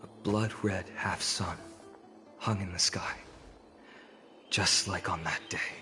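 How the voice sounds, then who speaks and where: A man voicing an earnest, good-natured young hero in scripted video game dialogue, gentle and sincere. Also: low voice, fairly even intonation, takes it slowly, full character voice. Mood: haunted. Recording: music underneath.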